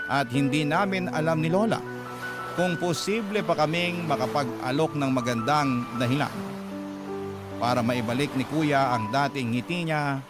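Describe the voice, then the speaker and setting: A man's voice: fairly high pitch, normal speed, loud music behind the voice, natural voice. A man narrating as a wistful young woman. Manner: wistful, reflective. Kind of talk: scripted narration of a radio drama.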